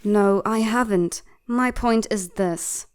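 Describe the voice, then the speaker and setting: A woman's voice: medium pitch, takes it slowly, close to an everyday voice. A woman playing an outspoken, protective bird doctor at an academy in a comic fandub, articulate, insistent and reproachful. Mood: exasperated. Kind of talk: dramatic comic fandub acting.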